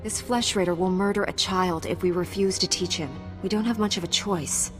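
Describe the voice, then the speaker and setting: A woman's voice: on the low side, steady tone, a little fast, close to an everyday voice. A woman voicing a confident young female knight in a video game, direct and matter-of-fact. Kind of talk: video game character acting. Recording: game music behind voice.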